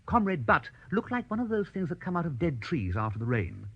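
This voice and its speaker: An actor playing an amiable, dithering young upper-class English gentleman, chummy and exclamatory, in a radio comedy drama. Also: a little high, sing-song, a little fast, slightly rough, dull audio, exaggerated voice. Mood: wry storytelling. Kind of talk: first-person narration and radio comedy acting.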